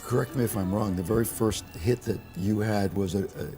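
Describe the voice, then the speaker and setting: A man's voice: fairly low pitch, fairly quick, music in the background. An American man hosting a TV interview, chatting conversationally and prompting his guest. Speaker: TV interviewer and host.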